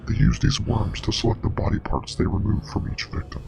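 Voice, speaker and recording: A man playing a grave, knowing investigator and parasite expert in a scripted web horror drama, explaining in a measured, clinical way with a breathy, gravelly voice. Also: on the low side, background noise. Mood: clinical, ominous. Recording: music playing behind.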